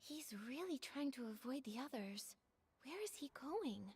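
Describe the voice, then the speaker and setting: A woman voicing a gentle, polite young girl heroine in a video game, soft and earnest. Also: high voice, big pitch swings, measured pace, slightly rough, heavily acted. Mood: thoughtful. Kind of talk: game voice acting.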